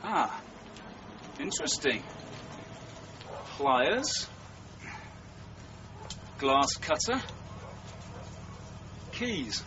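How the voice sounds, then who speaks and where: A man playing a brisk, observant detective in a naturalistic radio drama, speaking in a quiet, clipped, businesslike way. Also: on the high side, sing-song, background noise, heavily acted. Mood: brisk, intent. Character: brisk, observant detective examining a crime scene. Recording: dull radio recording, faint outdoor ambience.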